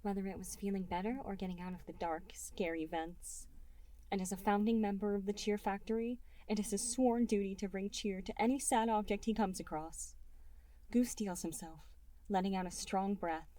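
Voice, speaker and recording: A woman reading a fan fiction story aloud as an audiobook narrator, in an expressive storytelling manner. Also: mid-range voice, normal speed. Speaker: reader and writer of the story.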